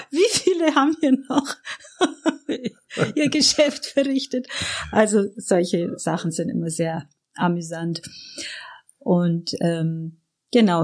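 A woman's voice: medium pitch, darkish tone. A middle-aged woman from Iran reminiscing casually as an interview guest, reflective and often searching for her words.

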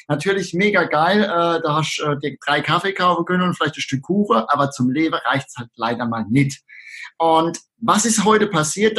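Husky Palatinate-accented man. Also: on the high side, fairly bright tone. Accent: Palatinate German. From southern Palatinate, Germany. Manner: hesitant, frequent fillers. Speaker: podcast guest. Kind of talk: casual interview chat.

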